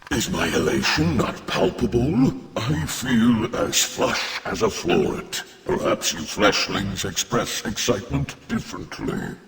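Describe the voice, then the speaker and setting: A man voicing a courtly, wordy, gentle tree creature in a fantasy game, with florid, earnest speech and a gravelly voice. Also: lowish voice, very animated, full character voice. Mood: delighted, effusive. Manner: florid, formal, earnest, deliberate. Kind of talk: fantasy video game acting. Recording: long cavernous reverb, noisy background.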